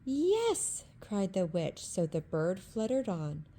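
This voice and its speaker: A woman reading a rhyming bedtime story aloud in a calm, rhythmic ASMR style. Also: lowish voice, a little slow.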